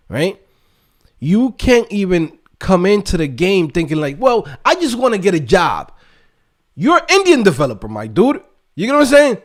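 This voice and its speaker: A man from the Dominican Republic giving a casual motivational rant as an online host and coach, emphatic and streetwise, with lots of slang and tag questions. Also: sits high. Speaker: YouTube host and developer coach.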